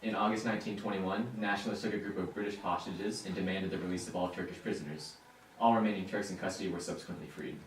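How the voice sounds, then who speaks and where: A man's voice: low voice, fairly even intonation, fast. A young man giving scripted interview answers as an expert in a student report. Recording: echoey, distant mic, faint noise.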